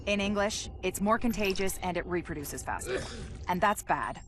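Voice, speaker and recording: An actress playing a blunt, analytical virus scientist in a video game, explaining clinically with dry, deadpan asides. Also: female voice, medium pitch, moderate pace, noisy background, close to an everyday voice. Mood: dry, grim. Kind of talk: scripted video game briefing narration.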